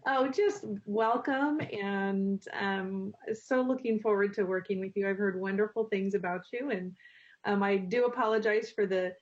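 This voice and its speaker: A woman's voice: medium pitch, moderate pace. A woman commissioner giving a casual welcome on a video call. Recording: dull lo-fi video call audio.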